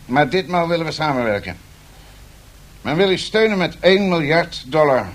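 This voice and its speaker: An actor playing a secretive, persuasive financial envoy in a radio drama, speaking in a formal, deliberate way. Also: male voice, on the high side, expressive, natural voice.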